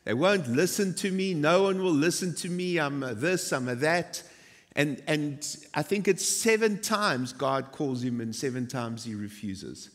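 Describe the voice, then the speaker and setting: A man's voice: a little high, a little slow, long reverb tail. A man in his forties preaching a sermon on a church stage, telling stories with self-deprecating asides. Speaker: pastor.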